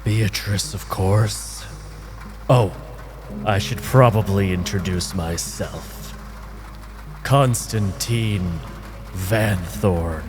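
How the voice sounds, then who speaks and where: A man voicing a bitter dying spirit, speaking in a brooding, profane way. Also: lowish voice.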